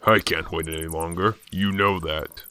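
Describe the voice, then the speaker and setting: A man's voice: low-pitched, steady tone, moderate pace, sharp tone, clean tone. A man playing a stubborn, brooding masked vigilante in a radio drama, terse, grim and resolute. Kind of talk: radio drama acting and first-person narration.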